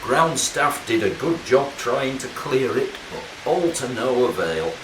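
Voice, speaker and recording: An actor playing a cautious, principled rugby referee in a radio play, speaking formally and firmly. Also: male voice, medium pitch, very animated, unhurried. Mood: formal concern. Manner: formal, firm, pedantic. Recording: echoey, distant, loud background hiss.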